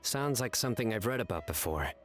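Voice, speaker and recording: A man voicing a calm, earnest young adventurer in a video game, speaking in a measured, inquisitive way. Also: on the low side, faint music behind, natural voice. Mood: calm, curious.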